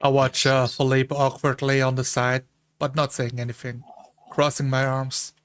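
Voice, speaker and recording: A man playing a tabletop game, describing his character in a deliberate, understated way. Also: mid-range voice, level tone, fairly bright tone, clear, resonant tone.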